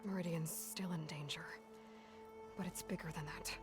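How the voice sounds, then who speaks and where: Actress for game heroine: young, determined, reserved, lower register, earnest delivery. Clear music underneath. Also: female voice, steady tone, normal speed, natural voice. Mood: resolute. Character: determined, reserved young female champion and adventurer. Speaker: voice actor in a video game. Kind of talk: dramatic video game dialogue.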